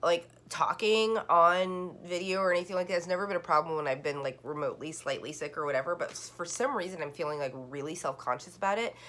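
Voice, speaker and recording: A woman's voice: on the low side, fast, few pauses, a bit bright. A woman giving a casual online tarot reading, rambling and full of "like" fillers and restarts. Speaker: tarot card reader.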